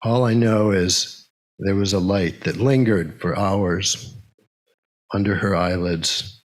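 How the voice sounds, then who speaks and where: A man with a fairly low voice speaks at a very unhurried pace to a congregation. His delivery is halting and plain, like someone leading a small service. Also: steady tone, rough recording. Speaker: service leader and poem reader. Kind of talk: casual announcements and poetry reading to a congregation.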